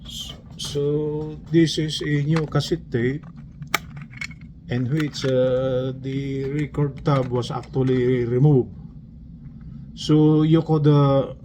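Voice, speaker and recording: A man giving an informal hands-on tutorial as an instructor, speaking haltingly and repeating himself step by step. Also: medium pitch, noisy background. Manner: halting, repetitive, explains step by step. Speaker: instructor demonstrating a device.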